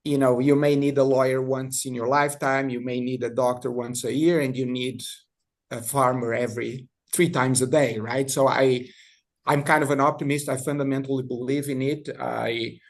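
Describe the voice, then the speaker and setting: A man from Brazil with a Brazilian Portuguese accent, speaking haltingly as a panel guest, restarting and repeating words often. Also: mid-range voice, flat delivery. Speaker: industry guest. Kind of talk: panel discussion.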